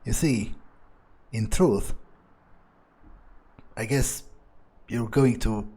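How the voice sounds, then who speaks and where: A man's voice: fairly low pitch, steady tone, very slow, warm tone, breathy edge, exaggerated voice. A man playing a smug, power-hungry vampire in improvised roleplay, musing and gloating in a hesitant way. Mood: smug, matter-of-fact. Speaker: gamer playing in character.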